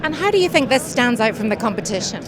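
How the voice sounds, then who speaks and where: A woman interviewing a guest on a trade show floor, asking brisk, friendly questions. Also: a little high, big pitch swings, a loud crowd.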